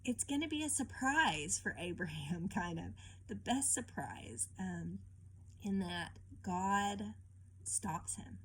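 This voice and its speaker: Expressive woman reading aloud, noisy recording. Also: medium pitch, slow. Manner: expressive storytelling, often asking the listener questions. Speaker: children's Bible lesson teacher reading aloud. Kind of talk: picture-book read-aloud and informal teaching to children.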